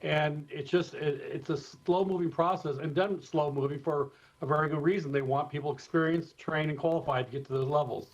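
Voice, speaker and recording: American guy, expert guest explaining stuff, slightly high voice, measured, kinda muffled remote interview audio. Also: steady tone. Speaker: expert guest, former airport communications director. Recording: dull, slightly lo-fi remote feed.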